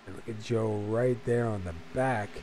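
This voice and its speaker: A man calling a sim racing league, hoarse from a cold, talking in a relaxed way with frequent fillers. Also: lowish voice, moderate pace, slight background noise. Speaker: race commentator. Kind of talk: sim racing play-by-play commentary.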